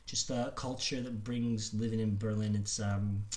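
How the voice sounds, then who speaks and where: A man's voice: fairly low pitch, steady tone, unhurried, darkish tone. A man hosting a casual podcast conversation, talking informally and repeating himself, with "like" sprinkled through his sentences. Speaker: podcast host. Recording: roomy, echoing sound.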